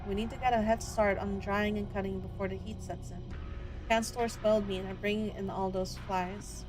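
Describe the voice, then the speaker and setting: A woman's voice: mid-range voice, steady tone, normal speed, music in the background, close to an everyday voice. A woman voicing a stern, menacing older brother, a rural hunter in a visual novel, with commanding threats. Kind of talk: visual novel character read-aloud.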